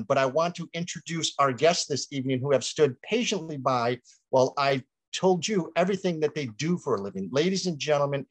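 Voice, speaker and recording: A man's voice: on the high side, dry recording. A man in his fifties hosting a virtual wine tasting over a video call, talking energetically outdoors by a vineyard. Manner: energetic, gestures with hands while explaining. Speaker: host. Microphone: video call webcam.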